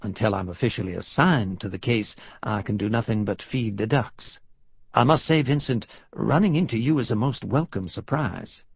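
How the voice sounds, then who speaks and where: A man voicing a cultured, wry gentleman investigator with a smooth Southern drawl in an audiobook. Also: fairly low pitch, moderate pace, dry recording, dull audio. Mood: courteous. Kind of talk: audiobook character voice.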